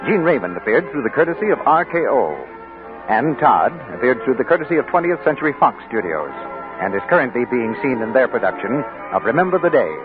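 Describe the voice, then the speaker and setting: A man's voice: medium pitch, sing-song. Formal male 1940s radio announcer. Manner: crisp, brisk, formal. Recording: tinny 1940s radio broadcast with music.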